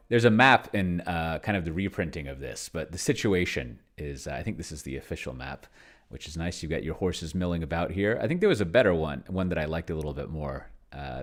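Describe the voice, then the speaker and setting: A man with a strikingly deep voice talks through a casual livestream demonstration. His delivery is unscripted and offhand, wandering off mid-thought, correcting himself and shrugging off his own slips with self-deprecation. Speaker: livestreamer demonstrating solo tabletop roleplaying with an AI.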